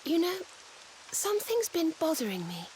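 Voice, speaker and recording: An actress voicing a gentle, polite young woman in a video game, speaking in prim phrases with faint rain behind her. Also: very high-pitched, big pitch swings, moderate pace, a little hiss or hum. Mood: uneasy, wondering. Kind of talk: dramatic video game voice acting.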